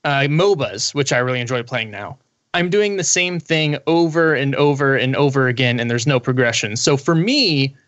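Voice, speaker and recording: A man's voice: mid-range voice, expressive, normal speed, a bit bright, dry recording. A man talking casually as a panelist in a gaming discussion. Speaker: podcast panelist.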